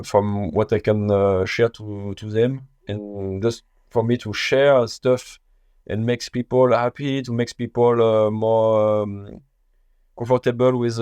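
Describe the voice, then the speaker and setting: A French-accented man speaks as a podcast guest, stopping often to search for his English words. His pace is very slow and unhurried, and his voice sits fairly low. The sound is smooth and clear, in a dry room with little echo. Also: darkish tone. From France. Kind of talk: casual interview talk.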